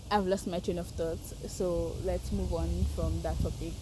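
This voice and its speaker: A woman with a Nigerian accent reflecting aloud in an unscripted, hesitant way, pausing to search for words. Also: lowish voice, steady tone, background noise. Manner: thoughtful, hesitant, searching for words, soft laughs. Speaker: participant sharing reflections. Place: outdoors.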